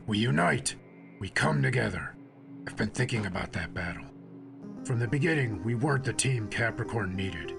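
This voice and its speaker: A man playing a serious, reserved warrior in a space hero audio drama, measured and solemn in his speech. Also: on the low side, animated, vocal fry, over music. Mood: solemn resolve. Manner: measured, solemn, terse literal phrasing.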